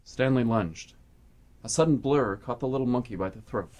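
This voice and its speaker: A man's voice: medium pitch, moderate pace, a little mellow. A man reading scripted, dramatic story narration for a radio play.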